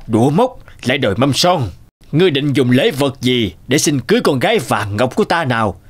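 An actor voicing a stern, scheming middle-aged lord in an animated folk tale, haughty and menacing. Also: male voice, mid-range voice, normal speed, breathy edge. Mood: scornful.

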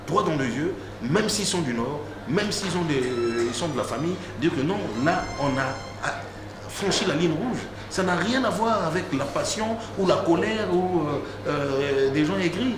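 A middle-aged man with a Congolese French accent answering in a political interview, emphatic and repetitive, halting over repeated words. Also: a little high, expressive. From Republic of the Congo. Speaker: interview guest, political opposition activist. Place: indoor sitting room, seated on a sofa. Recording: echoey room with clear background noise.

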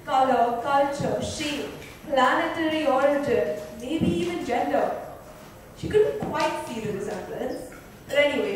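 A woman's voice: high voice, darkish tone. A woman reading literary fiction dramatically. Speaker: book reader. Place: indoor club lounge. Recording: echoey room, distant mic, faint noise.

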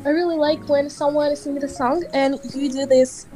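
A young Ukrainian woman speaks English with a Ukrainian accent, her voice high and her words hesitant with small giggles. It sounds like a casual video chat. Faint music plays behind her. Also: fairly even intonation, moderate pace, mellow.

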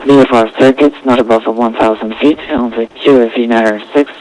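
A man with a medium-pitched voice works as an air traffic controller. He gives clearances and instructions in standard radio phraseology. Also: steady tone, a little fast, a little mellow, rough recording.

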